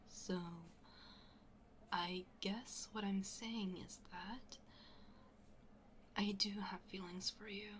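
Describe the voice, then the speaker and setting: A woman playing a smitten young best friend in an intimate ASMR roleplay, speaking softly and hesitantly, often half-whispering. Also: mid-range voice, steady tone, very slow, long pauses, slight background noise. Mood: shy, tender. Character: smitten young best friend, shyly confessing, playfully teasing.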